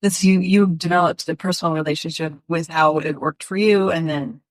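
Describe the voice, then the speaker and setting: A woman's voice: low-pitched, steady tone, a little fast, no room sound. A woman hosting an interview, talking enthusiastically and often restarting her sentences mid-thought. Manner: enthusiastic, rambling, with frequent restarts. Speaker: host. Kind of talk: casual interview chat.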